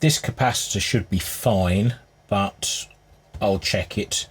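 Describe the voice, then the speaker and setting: A man with a husky voice giving casual, unscripted hands-on repair commentary, musing aloud as he works. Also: on the low side, takes it slowly. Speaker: hobbyist restorer presenting his repair.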